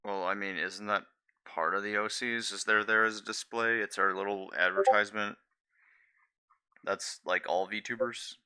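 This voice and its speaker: A man's voice: lowish voice, fairly even intonation, moderate pace, bright, forceful tone, clear, resonant tone. A young man chatting casually on a live stream, blunt and slangy, swearing easily.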